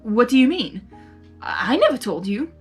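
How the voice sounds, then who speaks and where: A woman's voice: fairly high pitch, big pitch swings, moderate pace, husky, soft background music, heavily acted. Woman voicing a brash, theatrical male chaos creature. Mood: surprised.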